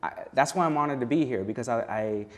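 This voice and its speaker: A man in his thirties from California, speaking as a poet and educator in a studio interview. He answers in a thoughtful, measured, personal way. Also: mid-range voice. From United States. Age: 30s. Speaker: guest poet and educational consultant. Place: television studio.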